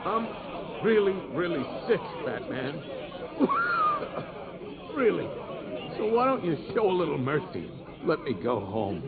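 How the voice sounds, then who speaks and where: Jailed informant for a game: slippery, pleading then bitter, higher-pitched, animated, somewhat muffled with clamor behind. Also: male voice, slow, pauses often, a loud crowd, exaggerated voice. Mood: desperate begging. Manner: ingratiating, protesting, turning bitter. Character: pleading, wheedling jailed criminal informant, adult man. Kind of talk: dramatic video game voice acting.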